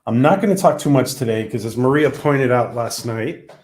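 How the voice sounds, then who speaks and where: A man hosting a casual livestream who is losing his voice. He talks haltingly, with many ums and restarts. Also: medium pitch, a little mellow. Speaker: livestream host.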